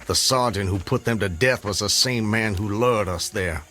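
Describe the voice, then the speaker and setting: A proud Southern gentleman in a radio drama, his voice low and grave. He speaks in measured, formal phrases like a man of firm principle, with strong music underneath. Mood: guarded, bitter. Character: proud, resolute Southern gentleman planter, haunted Civil War rebel. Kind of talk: radio drama acting, narrating and dialogue.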